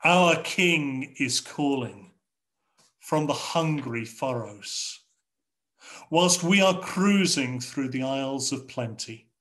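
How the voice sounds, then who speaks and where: A middle-aged man with an English accent preaching a sermon from a home study over a video call, in a measured, rhetorical way with repeated phrases. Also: medium pitch, expressive, a bit bright. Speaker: pastor. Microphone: video call webcam.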